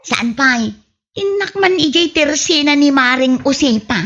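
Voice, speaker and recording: A woman's voice: high voice, expressive, unhurried, fairly bright tone. A woman playing a gossip-loving, defiant village wife in a comedy radio drama, with exaggerated whiny retorts, on muffled audio. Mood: casual, chatty.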